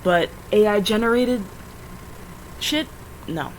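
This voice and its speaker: A woman's voice: mid-range voice, slow pace. A young woman with a scratchy, just-woken-up voice, rambling in a casual vlog while she draws, full of fillers and self-corrections. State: just woke up, tired. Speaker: vlogger.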